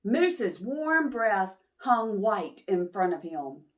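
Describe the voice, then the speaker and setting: Very slow, animated woman storyteller. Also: medium pitch, slight background noise. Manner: pauses to question and explain to young listeners. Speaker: children's storytime host. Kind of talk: expressive picture book read-aloud for preschoolers.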